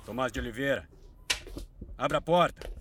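A man's voice: mid-range voice, moderate pace, very noisy, lightly acted. A man playing a stern police officer in an audio drama, formal and commanding, with a Brazilian accent. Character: stern, authoritative police officer making an arrest.

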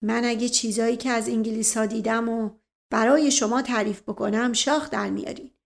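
A woman's voice: fairly high pitch, fairly even intonation, lightly acted. A woman voicing a self-important, paranoid older uncle, pompous and grave, in a storybook reading. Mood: boastful.

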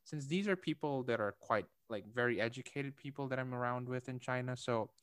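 Young man, smooth voice, thoughtful. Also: medium pitch, a little fast, a bit bright, dry recording, studio quality. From Indonesia. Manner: thoughtful, hesitant, many fillers and restarts, laughs lightly. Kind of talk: casual conversation.

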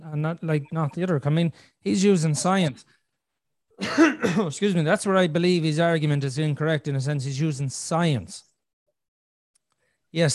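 A man, from Ireland, hosting a podcast and talking in a casual, earnest way, thinking out loud as he goes. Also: fairly high pitch, no room sound, studio quality. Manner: earnest, thinking aloud with restarts and "you know". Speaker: podcast host. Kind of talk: casual conversational podcast chat.

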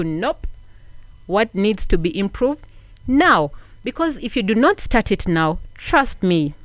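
A woman's voice: medium pitch, no echo. A woman giving an earnest motivational talk, repeating points for emphasis and asking the listener questions. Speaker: self-help speaker.

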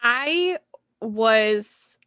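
Bright, playful young woman. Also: fairly high pitch, lively intonation, extremely slow, no echo, studio quality. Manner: playful, laughs a lot, theatrical. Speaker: podcast co-host. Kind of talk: casual chat recapping a TV episode.

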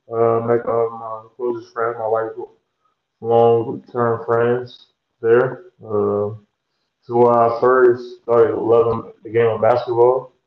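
A man's voice: medium pitch, echoey room, narrow, tinny audio. A young man from Georgia, a pro basketball player chatting casually as a guest and rambling with plenty of fillers and restarts. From Georgia, USA. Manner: laid-back, rambling with many fillers and restarts. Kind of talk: casual interview chat.

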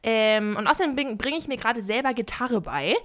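Chatty young German woman, somewhat high voice. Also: animated, fairly quick, a bit bright. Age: young adult, 18. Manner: chatty, giggly, many fillers. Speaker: podcast co-host. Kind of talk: casual chat.

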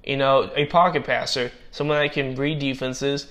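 Young male commentator, mid-pitch, voice going hoarse, halting and full of fillers, casual draft breakdown. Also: fairly even intonation, a bit bright. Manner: halting, many fillers and restarts. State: losing his voice. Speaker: football draft analyst. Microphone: personal microphone.

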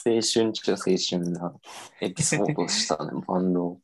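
A young man with a somewhat low voice tells a story in a relaxed, self-mocking way. His speech is full of casual fillers and easygoing chat. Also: fairly quick, poor audio. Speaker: podcast host telling his story.

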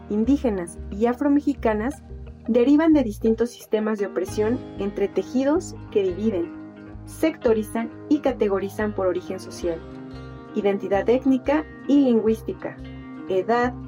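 A woman reading a formal, scripted informational voice-over in a clear, deliberate way. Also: mid-range voice, mellow. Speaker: narrator. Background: guitar music.

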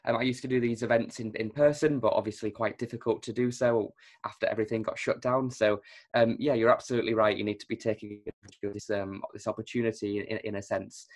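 A man's voice: on the low side, level tone, quick pace. A man who hosts a tech podcast, asking questions over a video call in a casual way, with fillers like "um.". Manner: friendly, filler-heavy questioning. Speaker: recruiter and podcast host. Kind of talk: casual interview hosting.

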